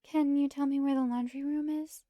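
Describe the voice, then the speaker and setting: A woman voicing a shy, polite young angel girl as a soft-spoken houseguest in a visual novel. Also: sits high, flat delivery, normal speed, no echo, voice pushed up, close to an everyday voice. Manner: polite, demure, gentle. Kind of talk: visual novel dialogue read aloud.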